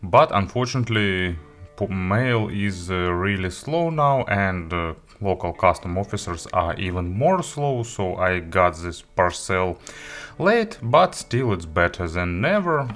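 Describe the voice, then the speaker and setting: Low-voiced man, slow halting reviewer. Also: expressive, a bit bright. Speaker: gadget reviewer, host. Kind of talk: product review, talking through an unboxing.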